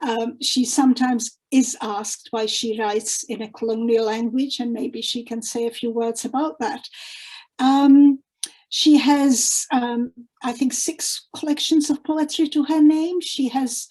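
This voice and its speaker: A woman's voice: a little high, steady tone, unhurried, darkish tone, rough recording. A woman introducing a guest poet at an online literary event, speaking off the cuff with frequent ums and restarts over a video call.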